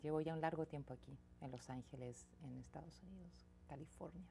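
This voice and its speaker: A woman's voice: low voice, fairly quick, vocal fry, a little hiss or hum. A woman, a singer-songwriter speaking reflectively as a guest in a small TV studio interview. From Chile. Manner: reflective, soft-spoken, with frequent restarts. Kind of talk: casual interview conversation. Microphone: lapel mic.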